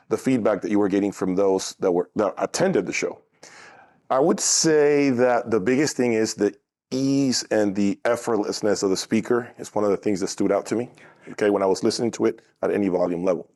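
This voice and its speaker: A man's voice: on the low side, a little mellow. A man hosting a casual on-location interview, speaking emphatically as an interviewer and reviewer. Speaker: interviewer and audio review show host.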